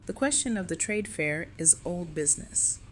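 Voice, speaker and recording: A woman's voice: fairly low pitch, expressive, moderate pace, a little hiss or hum, natural voice. A woman playing a stern, guarded and unyielding security chief in an audio drama. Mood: firm, cold. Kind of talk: full-cast audio drama acting.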